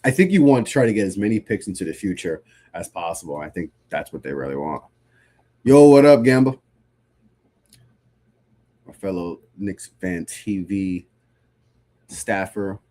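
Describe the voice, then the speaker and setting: Stuffy, low-voiced man talking hoops. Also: animated, measured pace, pauses often, mellow. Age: 30s. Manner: loose and conversational, frequent restarts. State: stuffy from a cold. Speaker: podcast host. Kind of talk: casual live-stream sports talk, reading chat.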